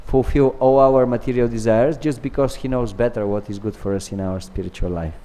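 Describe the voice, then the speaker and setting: A man from Bulgaria, giving an earnest devotional scripture class and telling stories with pauses and restarts. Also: mid-range voice, steady tone, mellow. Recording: long reverb of a large hall.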